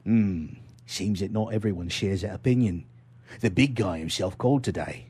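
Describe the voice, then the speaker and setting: Man playing Lucifer, a husky exasperated chief executive. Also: on the low side, normal speed, darkish tone. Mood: sour. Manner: tense, irritable. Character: Lucifer, dangerously calm, exasperated male corporate chief executive.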